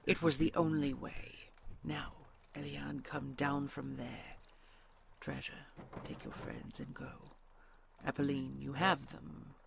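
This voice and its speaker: A woman playing a commanding, dryly teasing she-dragon in a fantasy audio roleplay, with a gravelly, breathy growl. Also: rumbling low, background noise, heavily acted. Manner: imperious, slow, theatrical.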